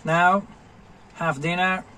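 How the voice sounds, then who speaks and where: Slow-talking man filming his camp. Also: high voice, steady tone, pushed and bright, slight background noise. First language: Spanish. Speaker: vlogger. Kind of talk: casual travel vlog. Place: outdoors at a riverside campsite. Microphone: phone held at arm's length.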